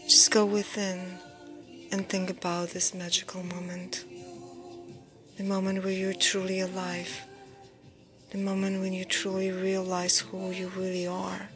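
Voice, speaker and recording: A woman guiding a meditation in a soft, breathy, near-whispered voice, soothing and unhurried, in short phrases with pauses. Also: mid-range voice, completely flat delivery. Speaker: meditation guide. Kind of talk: guided meditation. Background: background music.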